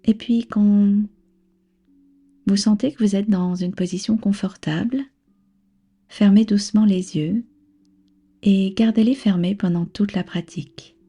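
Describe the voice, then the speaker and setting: A woman with a soft, breathy voice leading a guided relaxation as a yoga nidra instructor, soothing, unhurried and gently instructing. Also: mid-range voice, fairly even intonation. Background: background music.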